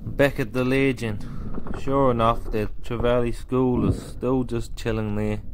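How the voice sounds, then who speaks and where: A man's voice: medium pitch, steady tone, measured pace. A young man, a spearfishing vlogger who narrates his dives in a laid-back, slangy way and talks to his action camera while floating at sea. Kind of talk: voice-over narration and in-water commentary. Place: at sea, in the water, outdoors. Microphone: action camera on his head. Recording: music under the narration; water noise in the field.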